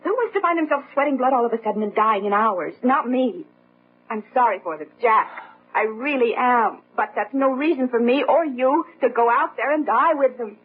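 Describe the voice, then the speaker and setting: A woman's voice: on the high side, expressive, normal speed, slightly rough. An actress playing a rich man's loyal, self-preserving daughter in a radio drama, insistent and reproachful. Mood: defensive, uneasy. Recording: tinny telephone-like old radio sound.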